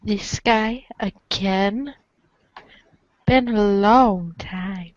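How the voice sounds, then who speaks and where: A woman's voice: mid-range voice, very slow, no room sound, highs cut off. A woman reading playfully in a group performance of a webcomic script for a podcast. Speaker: podcast co-reader.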